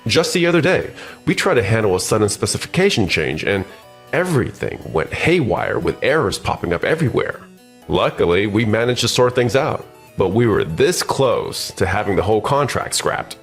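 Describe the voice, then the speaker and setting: A man playing a self-serving, scheming office worker in an anime-style dub, excitable and easily flustered. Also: fairly low pitch, moderate pace. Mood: feigned concern. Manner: stammers when cornered, excitable. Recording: music bed behind the voice.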